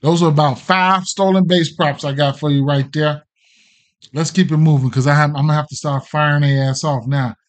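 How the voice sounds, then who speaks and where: A man's voice: a little high, fairly even intonation, moderate pace. A middle-aged American man hosting a casual betting livestream, rambling off the cuff with plenty of slang and swearing. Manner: slangy, profane, hesitant and rambling. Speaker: sports betting show host.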